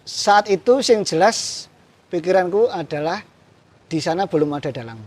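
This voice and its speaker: A man's voice: high voice, normal speed. A man from Central Java with a Javanese accent, chatting casually as a guest puppeteer and chuckling often. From Central Java, Indonesia. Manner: relaxed, mixes Javanese and Indonesian, chuckles often. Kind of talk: casual interview chat.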